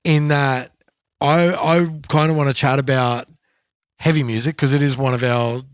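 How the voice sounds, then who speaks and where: A mid-pitched man co-hosts a music podcast. He thinks out loud, stops and starts, and repeats his words as he goes. His voice sits close and dry on the mic. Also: fairly even intonation, clear, resonant tone, no room sound. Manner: thoughtful, halting, repeats words. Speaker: podcast co-host. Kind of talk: casual podcast chat.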